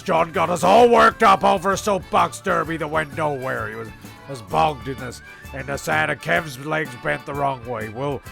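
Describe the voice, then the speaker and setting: A man with a heavily gravelly, mid-pitched voice narrates a comic story. He is mock-dramatic and rambling, with music clearly behind him. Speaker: narrator and host.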